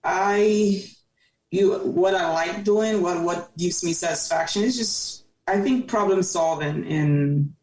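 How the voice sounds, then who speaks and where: A woman's voice: on the low side, slow, a little mellow, recorded from a distance. A woman in her thirties, a podcast guest telling her story in an earnest, reflective way. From Cameroon. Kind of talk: casual conversation. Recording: roomy, off-mic sound.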